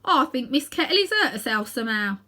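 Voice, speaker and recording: A woman reading a storybook, voicing an excited, deferential housemaid. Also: high voice, a little fast, pitched above the performer's own voice, full character voice. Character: excitable young housemaid, deferential, delighted by drama.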